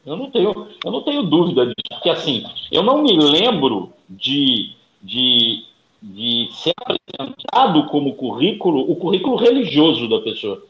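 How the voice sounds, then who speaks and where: A man with a Brazilian accent speaking as a panelist in a political discussion, haltingly, often repeating his words. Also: a little high, very animated, fairly bright tone. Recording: dull, noisy, echoey room with clicks.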